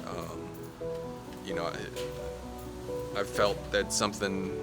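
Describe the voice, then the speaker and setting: A man speaking candidly and plainly to camera about himself, in a halting, conversational way. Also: low-pitched. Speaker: documentary subject, surgery patient. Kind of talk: candid personal talk to camera. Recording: loud music behind the voice.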